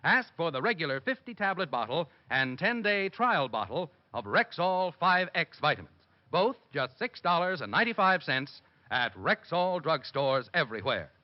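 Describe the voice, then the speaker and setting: A male announcer delivers a scripted vintage radio commercial. His fairly high voice is emphatic and moves animatedly in pitch, with muffled period sound. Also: very animated, moderate pace. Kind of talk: 1950s radio advertisement read. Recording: muffled old radio recording.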